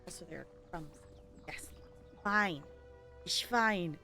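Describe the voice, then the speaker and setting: A woman's voice: on the low side, slow, sharp tone, soft background music. A woman livestreaming a game, chatting casually and informally with her viewers as she plays. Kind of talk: casual live gameplay commentary and chat.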